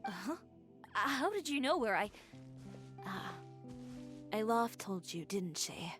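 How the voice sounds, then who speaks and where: A woman's voice: medium pitch, big pitch swings, a little slow, a bit bright, faint music behind. A woman playing a proud, composed young female fashion designer in a video game, with a self-possessed, nonchalant delivery. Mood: guarded, nonchalant.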